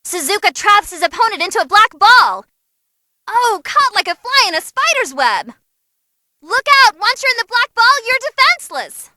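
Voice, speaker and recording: An actress voicing an excitable, cheeky young female ring announcer, giving animated, theatrical fight commentary in a video game. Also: very high voice, normal speed, exaggerated voice. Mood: excited commentating. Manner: perky, theatrical, wisecracking. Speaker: match commentator.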